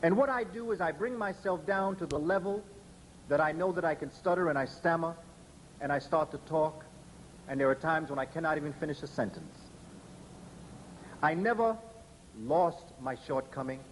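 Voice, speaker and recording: A man delivering a public lecture, emphatic and deliberate, slowing down to spell out letters one by one. His voice sits a little high for a man. A faint hiss of noise runs under the recording. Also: poor audio.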